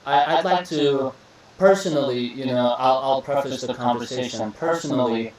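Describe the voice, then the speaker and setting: A man's voice: medium pitch, normal speed, recorded from a distance. A young man from Iraq talks easily as a podcast host, looping back on his words with a laid-back, friendly flow. He sits a little away from the mic. The room rings with strong echo. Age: young adult. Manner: relaxed, repeats words, says "bro" and "beautiful" often. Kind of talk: casual interview chat. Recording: echoey room, voice a bit off-mic.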